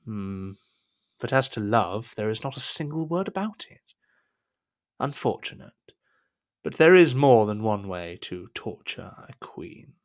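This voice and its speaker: An actor playing a scheming, falsely humble cardinal in an audio drama, with a theatrical, unctuous manner. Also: male voice, fairly low pitch, close to an everyday voice. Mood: brooding, calculating.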